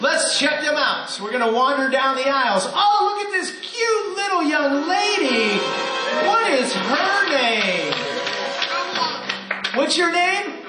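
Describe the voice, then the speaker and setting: A man's voice: extremely high pitch, animated, takes it slowly, loud music behind the voice. A man hosting a live radio show as announcer, with a theatrical showman's delivery before a studio audience. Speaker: host and announcer. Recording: echoey live room with audience.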